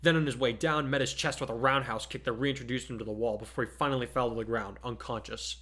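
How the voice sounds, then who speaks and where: A man's voice: mid-range voice, steady tone, quick pace, sharp tone. A man narrating fan fiction in a dramatic, scripted storytelling style.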